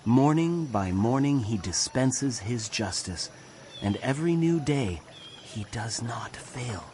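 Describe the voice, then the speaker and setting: An actor playing a grave prophet, narrating scripture dramatically in a solemn, declamatory way. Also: male voice, mid-range voice, animated, takes it slowly, a little mellow, slight background noise. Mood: grave, condemning. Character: grave male prophet proclaiming doom and hope.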